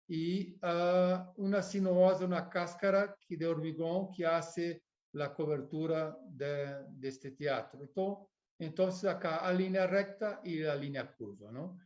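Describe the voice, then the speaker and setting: A man whose first language is Portuguese gives an academic architecture lecture with a Portuguese accent, pausing to search for words and often adding tag questions. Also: a little high, a little mellow. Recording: dull lo-fi recording.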